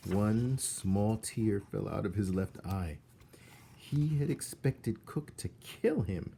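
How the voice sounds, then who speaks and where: A man's voice: fairly low pitch, animated, slow pace, mellow, slight background noise. A man reading a children's book aloud as narrator, expressively, with dramatic emphasis on key words.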